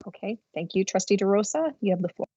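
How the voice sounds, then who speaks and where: A woman's voice: mid-range voice, expressive, fast, mellow, slightly rough, no room sound, highs cut off. A woman chairing a board meeting over a video call, calling on speakers in a polite, procedural way.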